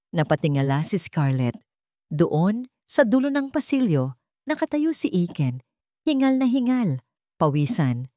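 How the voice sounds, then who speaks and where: Woman narrator, lowish pitch, dramatic scripted storytelling, dry close recording. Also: darkish tone, dry recording.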